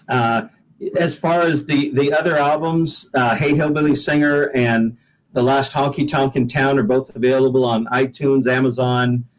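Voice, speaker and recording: A man from the Pacific Northwest of the United States speaks at a medium pitch. He is a singer-songwriter appearing as a guest, and he talks in a casual, conversational way. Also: low-quality recording. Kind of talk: casual interview chat.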